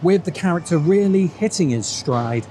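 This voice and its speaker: A man's voice: a little high, lively intonation, normal speed, dark tone, a little hiss or hum. A man delivering scripted, opinionated video-essay narration in a sardonic, emphatic manner. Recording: music bed under the voice. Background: background music.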